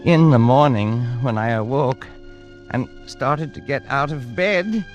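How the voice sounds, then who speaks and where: An actor playing a haunted, defensive colonial man in a vintage radio thriller, with a dramatic manner that swings from hushed to frantic. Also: medium pitch, measured pace, over loud music, lightly acted. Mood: haunted narration. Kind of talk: 1940s-50s radio thriller acting and narration.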